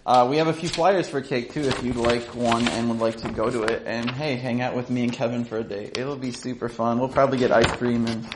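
A man's voice: medium pitch, fairly even intonation, brisk, hardly any pauses. A man hosting a live show, chatting casually with laid-back, slangy bro talk. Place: live event in a room with an audience. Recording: roomy, very noisy live venue.

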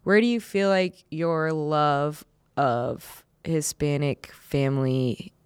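Casting: woman podcast host, slightly low voice, clear and smooth, close and dry; meandering, filler-heavy chat. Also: slow, no room sound. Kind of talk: casual chat.

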